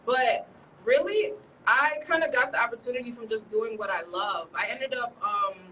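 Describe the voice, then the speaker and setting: Bright-voiced chatty woman from Chicago. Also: fairly high pitch, normal speed, far from the mic, slight background noise, low-quality recording. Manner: chatty, laughs often, rambling. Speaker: journalist guest. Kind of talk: casual interview chat. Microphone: livestream from a distance.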